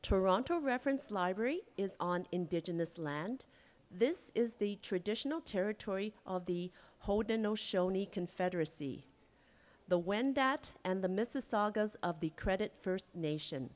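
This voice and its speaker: Woman presenter reading a prepared introduction, formal and measured. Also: medium pitch, expressive. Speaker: library event presenter.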